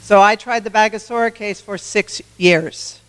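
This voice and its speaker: A woman giving a campaign speech to a club meeting, loud and self-assured, with restarts. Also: mid-range voice, fairly even intonation, moderate pace, clear, resonant tone, in a large hall, poor audio. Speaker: congressional candidate.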